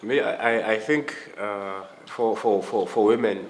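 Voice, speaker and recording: A man's voice: on the low side, unhurried. A man in his late twenties from South Africa who hesitates and repeats words often in a casual panel discussion. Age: 20s.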